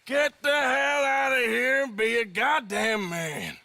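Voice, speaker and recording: An actor playing a sick, weary but defiant American outlaw in a video game, gruff and short of breath. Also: male voice, very high-pitched, expressive, takes it slowly, sharp tone, exaggerated voice. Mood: fierce. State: ailing, out of breath, coughing. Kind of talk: dramatic video game cutscene acting.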